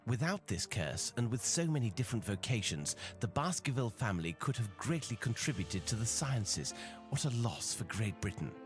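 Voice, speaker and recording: A low male voice with lively swings of pitch, a commanding gentleman detective in a video game. He speaks formally and with assurance, with a wry, teasing edge. Music plays clearly behind him. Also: normal speed. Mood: measured. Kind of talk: video game dialogue acting.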